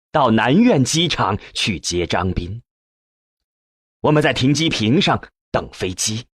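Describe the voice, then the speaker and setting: A man's voice: medium pitch, slightly rough, no echo, clean studio sound. A man narrating a science fiction audiobook in the first person.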